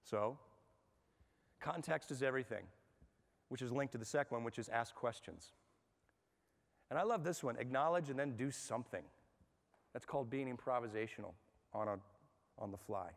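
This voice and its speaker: A man with a middle-pitched voice leads a management workshop in front of a live audience. His talk is energetic and conversational, and he keeps putting questions to the room. His voice rings out with the long reverb of a large hall. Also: normal speed, creaky, pauses often.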